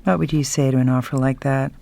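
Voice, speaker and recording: An actress playing a glamorous, scheming wife in a radio drama, arch, sultry and teasing, with a creaky voice. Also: female voice, a bass voice, steady tone, fast, mellow. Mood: sly, seductive. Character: glamorous, seductive, scheming younger wife of wealth.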